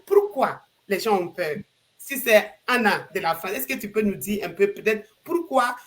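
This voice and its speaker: A woman hosting a casual live stream, talking expressively with swings in loudness and frequent repeats. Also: mid-range voice, animated, moderate pace.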